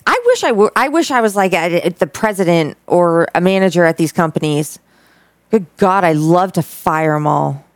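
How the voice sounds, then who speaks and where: A woman's voice: lowish voice, lively intonation, moderate pace, dry recording. A woman co-hosting a live show, chatting casually with blunt, exclamatory opinions. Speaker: co-host.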